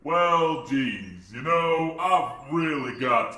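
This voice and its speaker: A man acts out an almighty being who blusters like a cornered mobster in a comic radio play. The voice is forceful and echoing. He takes his time, wavering between stammered evasion and pompous defiance. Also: on the high side, lively intonation, very slow, exaggerated voice. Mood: evasive, nervous. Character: God as evasive, blustering male gangster suspect. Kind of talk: old-time radio comedy acting.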